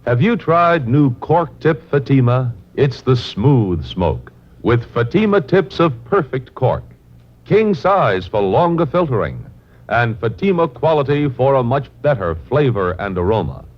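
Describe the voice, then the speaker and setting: A man's voice: mid-range voice, very animated, measured pace. An American man delivering a polished, persuasive 1950s radio commercial. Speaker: commercial announcer. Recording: muffled old radio recording.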